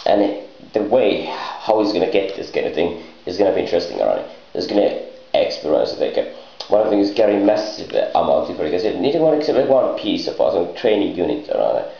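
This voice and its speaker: A dull, echoey lo-fi recording of a man with a creaky, gravelly voice. He improvises a meandering monologue, hesitating often. His phrasing is disjointed and his grammar comes out garbled. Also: low-pitched, lively intonation, brisk. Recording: muffled lo-fi, echoey room.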